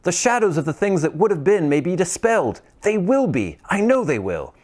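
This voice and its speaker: An old miser bursting with joy, his voice climbing high and tumbling out in excited cries. A man acts him out in a storybook reading. The delivery swings widely in pitch. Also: moderate pace. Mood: giddy. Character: overjoyed old miser man, giddy and reformed.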